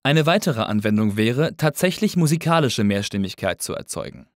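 A man narrating a scripted tutorial as an instructor, in a measured, explanatory way. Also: medium pitch, expressive, no echo, studio quality.

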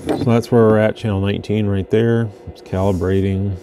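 A man's voice: on the low side, flat delivery, normal speed. A man giving a casual, unscripted hands-on product demonstration at an indoor workbench, talking as he goes. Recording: noticeable background noise.

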